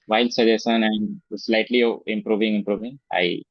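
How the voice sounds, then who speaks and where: A man who speaks as a guest on a video call. He talks in a halting, hesitant way, with many fillers and restarts. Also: lowish voice, fairly even intonation, a crowd in the background. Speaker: guest, English learner. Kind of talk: casual video-call interview. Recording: dull, dry video-call audio.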